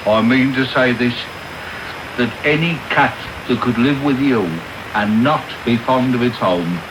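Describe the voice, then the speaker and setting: An actor playing a pompous, clumsily amorous beadle in a period radio drama, with a ponderous, halting delivery. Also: male voice, medium pitch, close to an everyday voice. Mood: wooing. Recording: noisy, hissy medium-wave radio tape.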